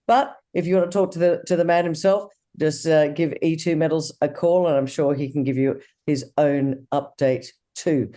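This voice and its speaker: A man hosts a business interview, his voice mellow and starting to give out. He speaks in an upbeat, promotional way, wrapping up with enthusiasm. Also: on the high side, steady tone, normal speed, hardly any pauses. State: losing voice. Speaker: interview host.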